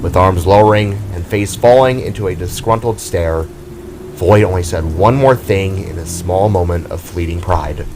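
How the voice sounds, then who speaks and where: A man's voice: low voice, moderate pace, noisy background. A man giving dramatic, theatrical narration of a scripted fantasy story.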